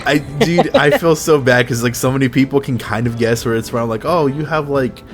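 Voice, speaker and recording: A man's voice: mid-range voice, normal speed, over music. A man hosting a casual podcast chat, rambling loosely, swearing, and laughing often.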